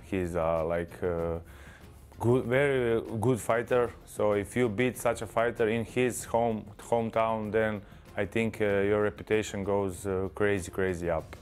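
Croatian man, measured fighter talk. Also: on the low side, fairly even intonation, soft background music. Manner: measured, modest, hesitant with fillers. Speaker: kickboxer. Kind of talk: pre-fight interview. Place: studio with branded backdrop. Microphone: lapel.

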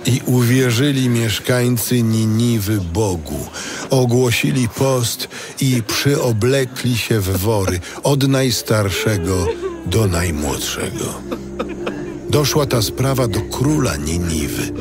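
A man playing a solemn narrator, reading a scripted audio Bible in a measured way. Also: mid-range voice, animated, music in the background. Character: solemn male biblical narrator.

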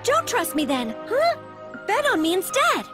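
A woman's voice: very high voice, huge pitch swings, measured pace, heavily acted. A woman playing a bossy, playful, self-assured schoolgirl in an anime audio drama. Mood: insistent. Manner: playful, theatrical, teasing. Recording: music behind the voice.

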